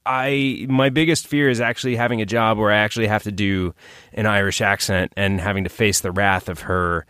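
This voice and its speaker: A man's voice: lowish voice, fairly even intonation, a little fast, fairly bright tone, clean tone, no room sound, studio quality. A man co-hosting a podcast over a video call, with easygoing, laid-back banter. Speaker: podcast co-host. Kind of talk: casual chat on a video call.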